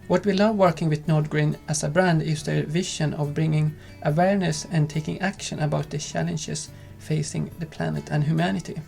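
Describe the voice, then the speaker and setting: A man co-hosting a lifestyle vlog, speaking calmly and unhurriedly. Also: on the high side, fairly quick, gravelly, few pauses, soft background music. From Sweden. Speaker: vlog co-host. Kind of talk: casual chat and sponsor read.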